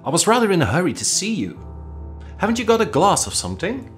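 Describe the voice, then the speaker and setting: A man's voice: high-pitched, huge pitch swings, fast, soft background music, voice pushed up. A man reading a story aloud, voicing a brash, hearty young male army officer who teases his cousin. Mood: breezy, tired. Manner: hearty, teasing, breezy.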